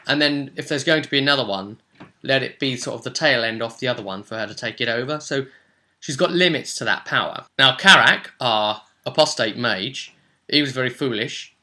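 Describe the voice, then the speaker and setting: British man, very bright forceful voice. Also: medium pitch. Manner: rambling, colloquial storytelling. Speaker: game master recapping a tabletop role-play session. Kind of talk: casual spoken recap.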